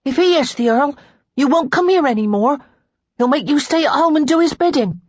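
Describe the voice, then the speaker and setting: Woman as little boy, high voice, blunt, stubborn, audiobook character, close dry sound. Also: expressive, fairly quick, darkish tone, dry recording. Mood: defiant, stubborn. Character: young boy, blunt and defiant.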